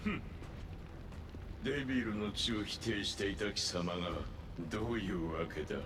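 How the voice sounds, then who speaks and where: A man's voice: lowish voice, moderate pace, heavy background noise, lightly acted. A man voicing a ruthless, scornful male fighter villain in a game cutscene, cold and menacing. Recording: loud orchestral music behind.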